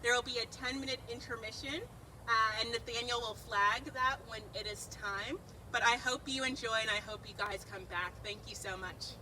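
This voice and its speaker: A woman's voice: fairly high pitch, steady tone, moderate pace, pushed and bright. Upbeat female host, casual welcome speech, outdoor garden with light background noise, distant mic. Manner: upbeat, informal, a few ums and restarts. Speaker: event host from a community garden group.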